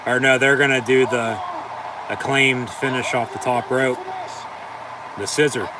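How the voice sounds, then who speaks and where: A man's voice: mid-range voice, steady tone, recorded from a distance, noisy background. A man doing casual play-by-play reactions to a wrestling event, rambling and cursing with many fillers. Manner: rambling, profane, halting with fillers. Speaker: watch-along commentator.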